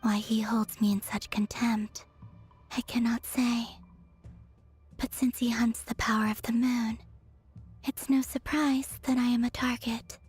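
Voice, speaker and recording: An actress voicing a gentle, solemn young moon goddess in a video game, breathy and calm, with measured, formal speech. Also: female voice, fairly high pitch, faint music behind. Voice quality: breathy, whispery. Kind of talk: video game character acting.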